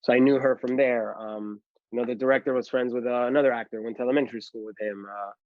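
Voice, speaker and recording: A man's voice: medium pitch, no echo, lo-fi sound. A man, a filmmaker telling his story in a casual podcast interview and leaning on "like" and "you know". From Miami, Florida. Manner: chatty storytelling, heavy use of "like" and "you know". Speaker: guest filmmaker.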